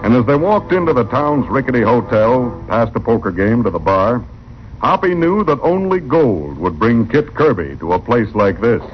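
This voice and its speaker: A man's voice: mid-range voice, sing-song, normal speed. An American man narrating a vintage radio drama as its announcer, in a grand, theatrical scripted delivery. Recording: muffled old radio broadcast. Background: music.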